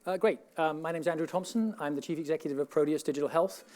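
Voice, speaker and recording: Brief: Texan man, slightly high voice, measured conference pitch. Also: a little mellow. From Texas. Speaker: panelist, health tech CEO. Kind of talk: conference panel talk. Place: conference stage.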